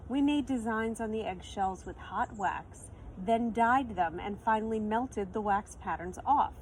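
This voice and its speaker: A woman's voice: on the high side, unhurried, poor audio. A woman storyteller reading a children's picture book expressively, in a teacherly manner. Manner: teacherly, explains words and asks listeners questions. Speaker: read-aloud storyteller. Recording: faint background noise.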